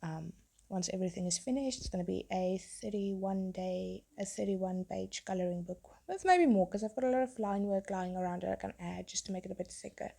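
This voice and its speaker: A young woman talks through her sketch in a loose, rambling way, often breaking off mid-thought. Her voice is hoarse from a cold, with a gravelly, mellow tone. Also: mid-range voice, expressive, brisk. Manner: rambling, chatty, self-interrupting. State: losing her voice, sick. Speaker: tattoo artist and vlogger. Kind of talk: casual chat while drawing.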